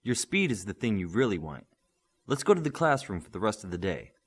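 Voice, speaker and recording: A man's voice: on the low side, sing-song, fairly quick, husky. A man playing a patient, firm superhero mentor in an audiobook, coaching and instructing steadily. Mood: instructive.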